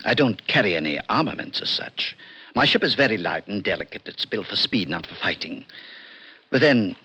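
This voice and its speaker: An actor in an old radio drama playing a polite, serious alien visitor who speaks in a measured, precise, mildly condescending way. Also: male voice, fairly low pitch, very animated, bright, forceful tone, husky, heavily acted. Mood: calm, matter-of-fact. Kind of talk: 1940s-50s radio drama acting. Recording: muffled vintage radio recording, faint music.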